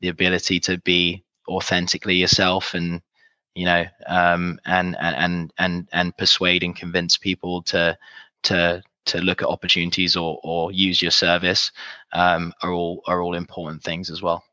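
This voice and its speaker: Guest, male, congested from a cold, low monotone, dry close sound, hesitant repeats. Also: moderate pace, no room sound. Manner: many fillers and repeated words, earnest. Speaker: recruitment executive, guest. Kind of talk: casual interview answers. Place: remote, in Dallas.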